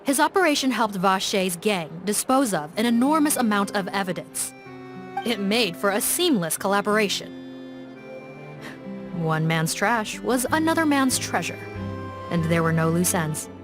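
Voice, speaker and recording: A woman voicing a composed police officer in a video game, reporting in a calm, matter-of-fact way. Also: medium pitch, normal speed, close to an everyday voice. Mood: firm. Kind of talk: video game dub dialogue. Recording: game cutscene with music beneath. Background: music.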